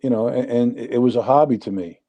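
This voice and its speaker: A man's voice: mid-range voice, fast talker. A man in his sixties with a New York accent, telling rambling stories as a guest in a casual talk. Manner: rambling, animated storyteller. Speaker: interview guest. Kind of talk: casual storytelling.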